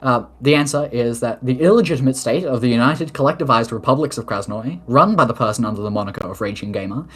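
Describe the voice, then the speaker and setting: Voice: mid-range voice, a bit bright, breathy edge. A speaker taking submitted questions in a mock-formal political address, reading official statements with casual asides. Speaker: head of government of an online role-play nation.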